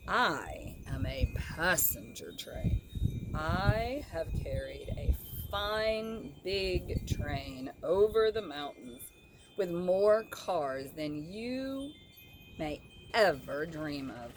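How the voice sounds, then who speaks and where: Lady doing a snooty boy train engine from a kids book, slow n braggy, low, noisy recording. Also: lively intonation. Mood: haughty, scornful. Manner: pompous, boastful, emphatic. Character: haughty, snobbish male passenger train engine. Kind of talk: children's storybook character voice.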